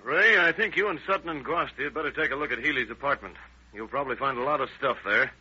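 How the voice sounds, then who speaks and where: Tough American detective, hard raspy voice. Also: male voice, medium pitch, fast talker, sharp tone, heavily acted. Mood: low-key, businesslike. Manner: clipped, businesslike, commanding. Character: tough, brisk, no-nonsense sheriff's detective. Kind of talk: old-time radio crime drama acting. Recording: dull, lo-fi old radio recording.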